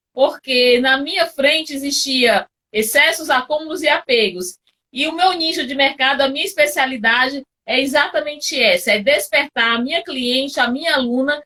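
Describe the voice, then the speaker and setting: A Brazilian woman with a high voice co-hosts a live online chat over a video call. She talks in a warm, chatty way, often tagging her sentences with little questions. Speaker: co-host.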